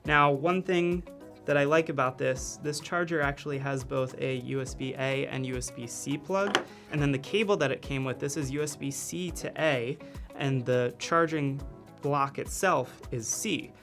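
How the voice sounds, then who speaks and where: A man's voice: medium pitch, moderate pace, fairly bright tone, faint music behind. A man casually reviewing video gear in his office studio, explaining things step by step in a friendly way.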